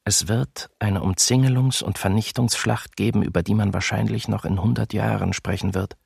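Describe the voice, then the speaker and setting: An actor playing a homesick German soldier in his early thirties, reading his diary in a radio docudrama with husky, measured delivery. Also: lowish voice, steady tone, darkish tone, dry recording, clean studio sound, full character voice. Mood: brooding, grim. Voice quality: husky, gravelly.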